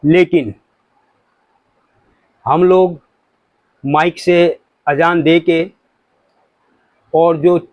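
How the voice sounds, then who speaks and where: A man's voice: fairly high pitch, steady tone, drawn-out words, long pauses, dry recording, muffled recording. A man giving an informal religious talk to viewers, explaining points in an explanatory way with examples. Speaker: religious commentator.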